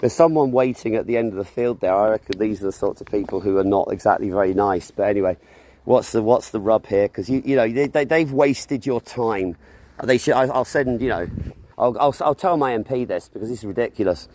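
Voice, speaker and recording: A man with a loud, sarcastic, argumentative manner, livestreaming a confrontation outdoors on his phone. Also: fairly low pitch, fairly quick, a little hiss or hum. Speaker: street livestreamer and counter-protester. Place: outdoors near school grounds.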